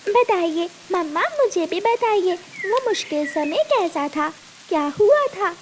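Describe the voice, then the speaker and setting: Woman voicing an eager little girl bird, very high-pitched. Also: lively intonation, slow, slight background noise, exaggerated voice. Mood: curious, eager. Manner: eager, questioning. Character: small, curious young girl bird, eager daughter. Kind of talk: Hindi children's cartoon dialogue.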